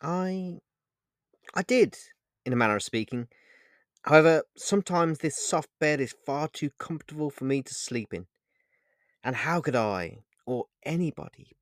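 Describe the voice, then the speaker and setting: A man playing a charming, intense lover in a romance audiobook, speaking formally and repeating his phrases haltingly. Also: medium pitch, expressive, no echo, clean studio sound, close to an everyday voice. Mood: smooth, flirtatious. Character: handsome, charming, wounded, intense male lover. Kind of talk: dramatised romance audiobook dialogue.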